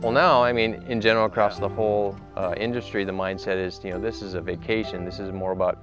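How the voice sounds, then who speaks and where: A man talking in a relaxed interview, leaning on "you know" as he goes. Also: lowish voice, quick pace. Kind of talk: casual interview. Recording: interview with loud music under it.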